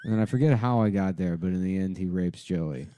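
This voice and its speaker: Deep guy rambling thru a comedy bit, mellow tone, does the quotes himself. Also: a little hiss or hum. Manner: rambling, halting, acts out quoted lines. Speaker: comedy podcast host. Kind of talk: casual comedic storytelling, recounting and reading a script.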